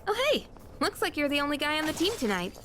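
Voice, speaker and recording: A woman voicing a perky, excitable young female teammate in an anime video game, casual and exclamatory. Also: high voice, fast, soft background music, exaggerated voice. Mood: playful surprise.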